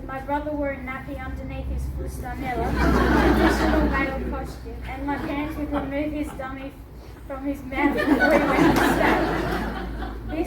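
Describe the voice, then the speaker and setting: A teenage girl from Australia, reading her personal essay aloud at a book launch, earnest and laughing now and then. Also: high voice. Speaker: student reading her story. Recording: echoey hall from far off, noisy.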